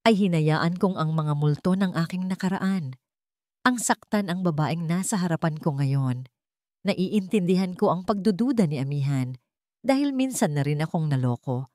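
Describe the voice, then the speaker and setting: A woman's voice: on the low side, a little mellow, dry recording, studio quality, close to an everyday voice. A Filipino woman voicing a regretful, earnest male CEO in a romance audio story, speaking in a solemn confessional manner.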